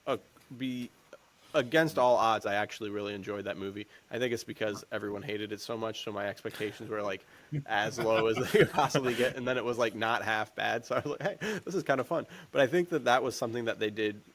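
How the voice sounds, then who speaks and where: A man's voice: on the low side, fast talker, fairly bright tone. A man co-hosting a podcast, chatting about films in an informal, rambling, slangy way. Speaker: podcast co-host. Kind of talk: casual chat, film commentary.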